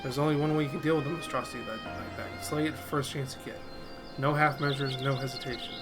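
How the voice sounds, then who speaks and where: A man's voice: mid-range voice, steady tone, fast, lightly acted. A man voicing a blunt, protective monster hunter from a fantasy game, with clipped, colloquial, firm speech. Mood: stern, resolute. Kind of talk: game dialogue read aloud. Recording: game music behind the voice.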